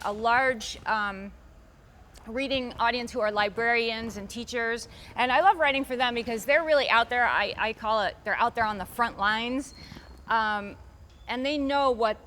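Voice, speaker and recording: A woman's voice: on the high side, bright, forceful tone, slight background noise. A woman author answering interview questions in a chatty, rambling way, restarting her sentences often and lifting her voice for emphasis.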